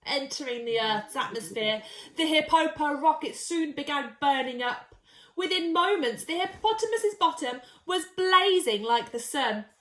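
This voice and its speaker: High, very animated woman storyteller. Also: normal speed. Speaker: storyteller reading to young children. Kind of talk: expressive picture-book narration. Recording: echoey, roomy sound.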